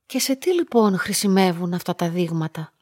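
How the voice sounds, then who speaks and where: A woman's voice: on the low side, expressive, darkish tone, no echo, clean studio sound. An actress playing a lonely, observant young woman who narrates her own story reflectively in a radio play. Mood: polite, puzzled. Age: young adult. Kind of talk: first-person literary radio drama narration.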